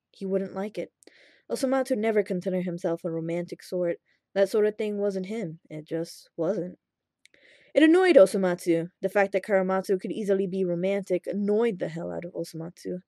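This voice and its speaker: Mellow-voiced woman narrating a story. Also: mid-range voice, dry recording, studio quality. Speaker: fan fiction reader. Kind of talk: expressive scripted narration of a story.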